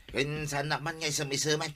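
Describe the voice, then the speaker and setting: Sharp, cranky Ilocano man. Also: a little high. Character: cranky hot-headed man picking petty quarrels. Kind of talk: Ilocano radio comedy drama.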